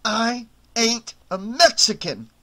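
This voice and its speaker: A man's voice: sits high, animated, normal speed, fairly bright tone. A man voicing a hot-tempered young hotel waiter who fires back heated, defiant retorts. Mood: strained.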